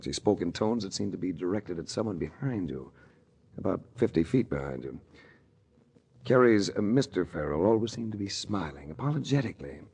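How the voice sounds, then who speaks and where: An actor playing a tough, wisecracking private detective who narrates in a dry, hard-boiled 1940s radio style. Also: male voice, low voice, animated, fairly quick, a little mellow, breathy edge, full character voice. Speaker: narrator and lead character. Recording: hissy old radio broadcast.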